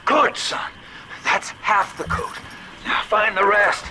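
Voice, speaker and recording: A man's voice: sits high, slow, heavy background noise. An actor playing a slick, world-weary older businessman in a video game, with a folksy, familiar drawl. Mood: pained, resigned.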